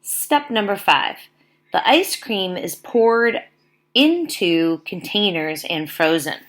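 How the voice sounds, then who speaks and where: Slow-paced woman teacher reading aloud. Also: medium pitch, animated, fairly bright tone. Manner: deliberate, simple teacherly phrasing for young children. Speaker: teacher reading a book aloud to young children. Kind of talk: read-aloud lesson with explanatory asides.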